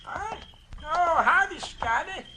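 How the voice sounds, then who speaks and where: High-pitched folksy station hand. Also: male voice, expressive, slow, heavily acted. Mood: folksy, chatty. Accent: American. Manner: folksy, drawling small-town talk. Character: folksy small-town railroad station hand, male, easygoing. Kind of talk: 1940s radio acting. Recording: noisy old 1940s radio recording.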